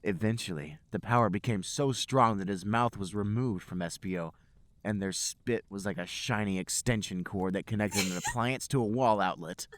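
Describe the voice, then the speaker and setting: A man's voice: fairly low pitch, normal speed, slight background noise. A man, a podcast host, reading fan fiction aloud as a storybook-like narration.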